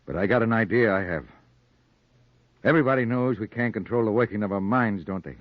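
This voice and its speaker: An actor playing a folksy but menacing, unhinged police sergeant in a 1940s radio crime play. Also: male voice, fairly low pitch, fairly quick, dry recording, lightly acted. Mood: musing, unhinged. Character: folksy male police sergeant, secretly a deranged strangler. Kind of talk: 1940s radio noir acting. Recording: dull dry 1940s radio recording.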